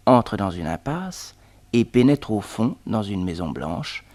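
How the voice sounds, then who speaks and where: A man's voice: on the low side, very animated, a little slow, darkish tone, full character voice. A man playing a young detective who tells his adventure with suspense, in a 1960s radio serial. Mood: lively, suspenseful. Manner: storytelling, suspenseful, addressing listeners. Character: jaunty young male detective telling his own adventure.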